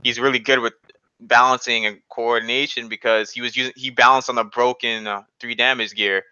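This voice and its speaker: A young man with a bright, crisp voice banters casually, full of slang and ready to argue his point. He sounds boastful, and the recording is close and dry but a bit dull and lo-fi. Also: medium pitch, level tone, brisk, dry recording.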